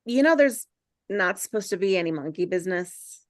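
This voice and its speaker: A woman's voice: lowish voice, animated, normal speed, no echo, clean studio sound, close to an everyday voice. A woman playing an earnest, nerdy teenage boy in a table read, hesitant and rambling as he tries to fit in. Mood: timid.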